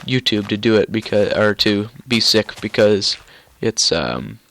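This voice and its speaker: A man's voice: fairly low pitch, measured pace, vocal fry, a bit bright. Stuffy-nosed young man narrating gameplay. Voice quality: nasal. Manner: rambling with many ums and restarts. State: stuffy nose from a cold. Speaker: gaming YouTuber. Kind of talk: casual gameplay commentary.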